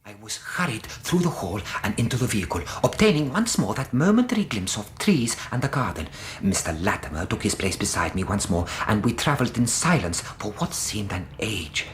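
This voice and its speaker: A man's voice: on the low side, big pitch swings, brisk, hardly any pauses, slight background noise. An actor playing an earnest, fussy middle-aged Greek interpreter, telling his story formally and precisely in a radio drama. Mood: bemused, recounting. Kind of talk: radio drama narration and dialogue.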